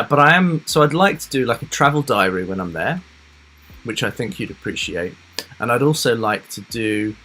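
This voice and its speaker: A man hosting a casual live stream, chatting loosely to his viewers with lots of fillers, rambling asides and soft laughs. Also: on the low side, slightly rough, low-quality recording. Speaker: reaction livestream host.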